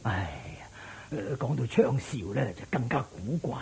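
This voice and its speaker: An actor playing an anxious, deferential old family servant in a radio drama, with a raspy, creaky voice and a stammering, sighing way of talking. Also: male voice, medium pitch, lively intonation, moderate pace, darkish tone, slight background noise. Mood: troubled, confiding. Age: older.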